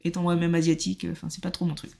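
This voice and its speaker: A French woman in her thirties, a podcast guest, telling her story in lively casual talk and acting out what others said. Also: on the low side, racing, creaky. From France. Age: 30s. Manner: animated, acts out quoted dialogue, laughs often.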